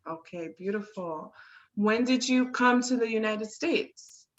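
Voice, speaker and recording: A woman hosting an interview, talking slowly and affectionately to her guest. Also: mid-range voice. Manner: slow and affectionate, calls guest sister. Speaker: interview host. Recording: dull lo-fi sound.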